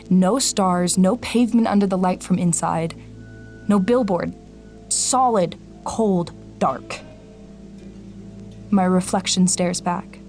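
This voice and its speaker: A woman's voice: fairly low pitch, mellow. A woman playing an anxious, suspicious traveller in an audio drama, hesitant and introspective as she acts and narrates. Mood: dread, brooding. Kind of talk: audio drama acting with first-person narration.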